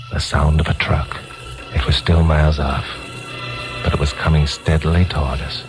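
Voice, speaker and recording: An actor with an American accent playing a tough, wisecracking newsman detective who narrates his case in a 1950s radio drama. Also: rumbling low. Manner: hard-boiled, teasing. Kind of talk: 1950s radio acting, first-person narration. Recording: 1950s radio recording with music.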